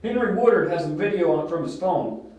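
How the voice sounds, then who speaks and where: A man's voice: high voice, animated, brisk, darkish tone. A man acting a matter-of-fact police investigator in an amateur audio drama. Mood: businesslike. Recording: very echoey space, distant mic.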